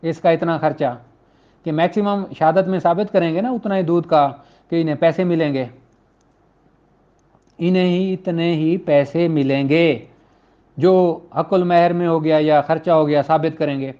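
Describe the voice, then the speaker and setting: A man's voice: on the high side, steady tone, dark tone. A man with a Pakistani accent giving an informal law lecture in a conversational, questioning way. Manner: conversational, poses rhetorical questions, acts out courtroom exchanges; switches between Urdu and slowly read English legal text. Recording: dull, lo-fi muffled audio.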